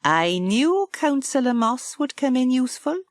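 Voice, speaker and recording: A woman's voice: high voice, sing-song, unhurried, dry recording, studio quality. A woman voicing a confident, helpful lady doctor and village organiser in a children's storybook.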